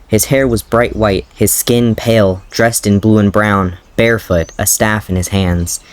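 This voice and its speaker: A man's voice: lowish voice, steady tone, a little slow, smooth, no room sound. A man doing a dramatic reading of his old fanfiction, breaking off into amused, self-mocking commentary and laughter.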